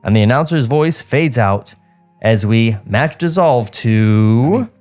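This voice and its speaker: A man's voice: mid-range voice, animated, slow pace, darkish tone, faint music behind. A man co-hosting a podcast, narrating screen directions from a script with casual asides. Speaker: podcast co-host reading a script.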